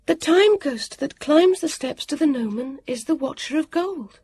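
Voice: high-pitched, animated, moderate pace, a little mellow, voice pushed up. The narrator voicing an uneasy, earnest young girl. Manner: uneasy, a little queasy.

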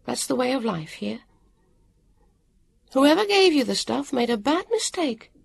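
A woman's voice: sits high, big pitch swings, a little slow, vocal fry, husky, no echo, voice pushed up, full character voice. A woman doing a brusque, harassed guide. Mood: irritable. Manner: brusque and impatient. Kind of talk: audiobook character voice.

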